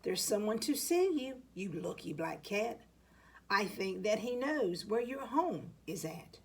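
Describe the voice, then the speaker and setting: A woman reading the part of a kindly, sentimental older woman in a children's storybook, speaking gently and consolingly. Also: medium pitch, big pitch swings, unhurried, breathy edge, full character voice. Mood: wistful. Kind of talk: children's storybook read-aloud.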